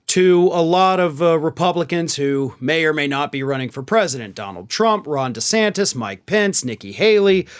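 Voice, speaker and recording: Male political commentator, high-pitched voice, emphatic and sarcastic, opinionated host delivery. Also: fairly bright tone. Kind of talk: opinionated news commentary to camera.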